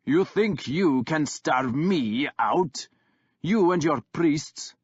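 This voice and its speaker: A man voicing a scornful older male warlord who taunts slowly and snarls. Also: on the high side, animated, husky, no room sound, lo-fi sound, pitched above the performer's own voice, exaggerated voice. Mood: scornful taunting. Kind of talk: audiobook character voice.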